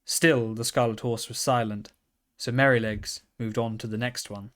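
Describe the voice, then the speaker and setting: A man's voice: medium pitch, steady tone, moderate pace, a bit bright. A man narrating a children's story from a script as a storybook reader.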